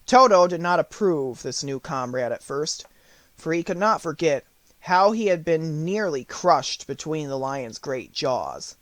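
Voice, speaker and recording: A man's voice: a little high, expressive, a little slow, dry recording. A man reading a storybook aloud as an audiobook narrator, in scripted narration.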